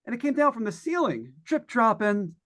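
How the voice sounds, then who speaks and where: A man's voice: very high-pitched, big pitch swings, heavy background noise. A man playing a nervous, lonely radio broadcaster unravelling in a haunted house, stammering and rambling in 1940s radio suspense style. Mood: desperate relief. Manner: stammering, halting, rambling with repeated words. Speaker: actor in old-time radio play. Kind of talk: 1940s radio suspense acting, live monologue.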